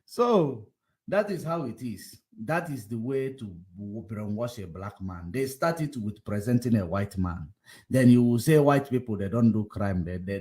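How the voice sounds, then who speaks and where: Combative man hosting a livestream. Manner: combative, repetitive, swings between loud outbursts and low muttering. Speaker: livestream host. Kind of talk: casual political commentary livestream.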